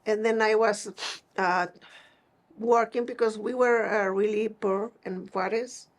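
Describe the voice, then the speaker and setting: A middle-aged woman with a northern Mexican Spanish accent and a medium-pitched voice shares personal stories in an interview. Her English is plain-spoken and somewhat halting, in a conversational style. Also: fairly even intonation. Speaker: seamstress being interviewed.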